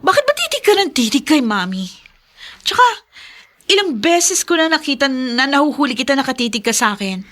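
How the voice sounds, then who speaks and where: A woman's voice: sits high, big pitch swings, a little fast, a bit bright. A woman playing a haughty, snappish young mayor's daughter in a Filipino radio drama. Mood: haughty, annoyed. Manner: snappish, imperious.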